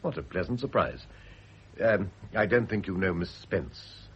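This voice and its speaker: An actor playing a suave, evasive middle-aged British gentleman and teasing seducer in an urbane, sardonic radio drama style. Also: sits low, expressive, unhurried. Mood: smoothly polite. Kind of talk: 1970s radio drama acting. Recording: dull vintage radio recording with faint hiss.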